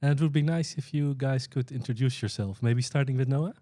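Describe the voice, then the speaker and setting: Easygoing male podcast host, mellow tone. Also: mid-range voice, a little fast, dry recording, clean studio sound. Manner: friendly, laughs often, short affirmations. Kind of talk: casual podcast hosting.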